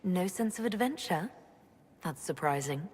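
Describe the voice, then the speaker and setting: An actress playing a calm, supportive fantasy army leader in a video game, speaking in a measured, gently teasing, reassuring way. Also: female voice, lowish voice, breathy edge. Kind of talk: video game cutscene acting. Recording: reverberant hall with faint ambient noise.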